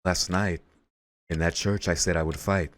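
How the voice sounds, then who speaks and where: A man hosting a let's play, reading visual novel narration aloud in a measured storytelling way. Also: sits low, fairly even intonation. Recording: game music behind the voice. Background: game music.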